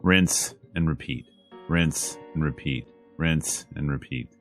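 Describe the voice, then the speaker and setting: A man's voice: rumbling low, slow. A man reading a visual novel aloud as a streamer, dramatic in delivery with wry, joking asides, over game music. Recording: music behind the voice.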